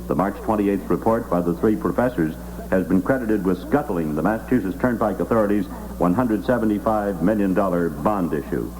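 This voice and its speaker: A man's voice: low-pitched, a little fast. A man reading news and ads in a formal announcer style, on a vintage 1960s radio recording with hum. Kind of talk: scripted 1960s radio newscast and live ad reading. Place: radio studio.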